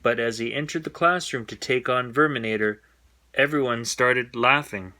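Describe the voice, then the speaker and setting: A man reading a children's bedtime story aloud in an expressive storytelling style. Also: mid-range voice, moderate pace.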